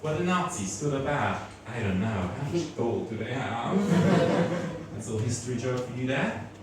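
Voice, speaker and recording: A man with a German accent works a comedy crowd, his pitch leaping up and down between dry asides. The sound is very roomy and picked up from some distance. Audience noise hums clearly behind him. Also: expressive, moderate pace. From Switzerland. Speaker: stand-up comedian. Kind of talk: stand-up comedy set. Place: comedy club stage before a laughing audience.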